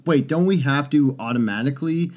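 A young man co-hosting a casual podcast, joking about himself with a dry, grumbling humor. His voice sits in an ordinary middle pitch, and he talks loosely as he drifts from topic to topic. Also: fairly quick, darkish tone. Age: young adult. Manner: wry, self-deprecating, grumbling. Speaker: podcast co-host.

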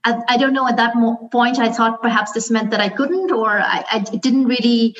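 A woman, talking thoughtfully on a panel with many pauses and fillers. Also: on the high side, fairly bright tone, dull audio. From Pakistan. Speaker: alumna panelist. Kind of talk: casual panel talk.

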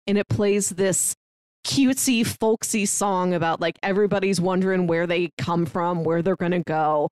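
A woman's voice: fairly low pitch, steady tone, normal speed, darkish tone, clear, resonant tone, no room sound. Enthusiastic woman co-host, dry close sound. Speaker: podcast co-host. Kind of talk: casual chat.

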